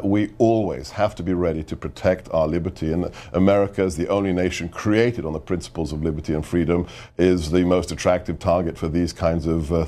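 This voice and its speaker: A middle-aged man with a very deep, low voice, talking as a guest on a political interview show. He presses his points hard and hammers home repeated phrases. His tone stays insistent throughout. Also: dark tone. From United Kingdom. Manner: emphatic, insistent, repeats for effect. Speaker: guest, former government adviser.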